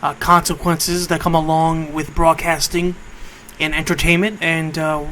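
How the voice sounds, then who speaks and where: Bright-voiced man hosting internet radio. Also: on the high side, flat delivery, measured pace, rough recording. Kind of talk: casual off-the-cuff radio monologue. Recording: clear background noise.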